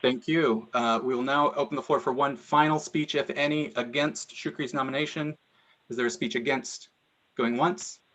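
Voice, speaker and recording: A man's voice: mid-range voice, steady tone, fairly bright tone, rough recording. Man chairing a meeting. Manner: brisk procedural calls for speeches.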